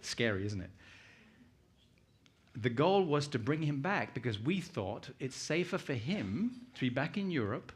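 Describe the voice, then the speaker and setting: A middle-aged British man presents to a conference audience in a conversational way. His voice sits at a medium pitch, and he talks informally, like someone sharing personal stories and putting questions to the room. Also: very animated, measured pace, slight background noise. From United Kingdom, born in Uganda. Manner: conversational, anecdotal, puts questions to listeners. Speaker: guest conference speaker.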